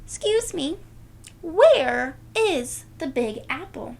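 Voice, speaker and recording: A woman plays a curious little girl in a storybook, high-pitched and bursting with eagerness. She exclaims in wide-eyed wonder and stretches her words slowly, with some noise behind her. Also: sing-song, creaky, heavily acted. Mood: excited, curious. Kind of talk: storybook character voice in a read-aloud.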